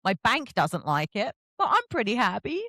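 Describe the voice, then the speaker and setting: A middle-aged woman hosts a chatty interview. Her voice sits fairly high and swings up and down a great deal as she tells animated, self-mocking stories. The sound is dry and close. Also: moderate pace, dry recording. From England. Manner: animated, self-deprecating storytelling. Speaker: podcast host interviewing an author.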